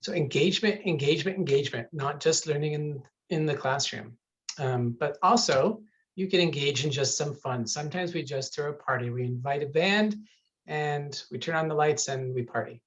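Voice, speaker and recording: A man's voice: fairly high pitch, normal speed, a bit bright, lo-fi sound. A man presenting a university webinar over a video call, chatty and upbeat with some fillers.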